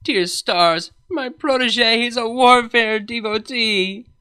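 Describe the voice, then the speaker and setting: A woman's voice: fairly high pitch, slow, sharp tone, clean tone, faint music behind, voice pushed up. A woman voicing a weary, regal pony princess and mentor in a comic storybook, exasperated, sardonic and dramatic.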